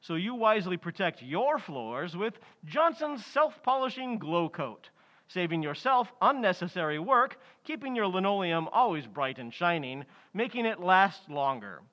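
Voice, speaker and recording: A man's voice: sits high, very animated, moderate pace, pushed and bright, highs cut off, full character voice. A man playing a hearty 1940s radio sponsor announcer, delivering an emphatic, salesmanlike read. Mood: upbeat.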